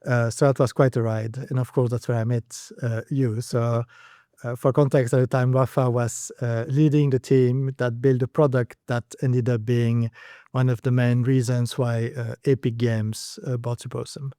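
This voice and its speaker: A man from Belgium speaks with a mellow, darker tone, laying out his background in a calm, measured way. The recording is close and dry. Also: mid-range voice, no room sound. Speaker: co-founder, podcast guest. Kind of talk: casual conversational podcast chat.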